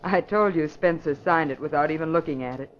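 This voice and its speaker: An actress playing a cool, practical criminal accomplice in an old radio drama, composed and businesslike. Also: female voice, low-pitched, a little fast, natural voice. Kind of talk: 1940s radio acting. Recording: muffled old radio recording with hiss.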